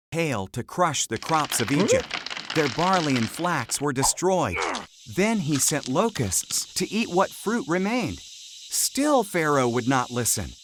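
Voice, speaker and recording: A narrator reading a children's Bible story in simple, measured short phrases, in a scripted storytelling style. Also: mid-range voice, background noise. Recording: loud music under the voice. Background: loud background music.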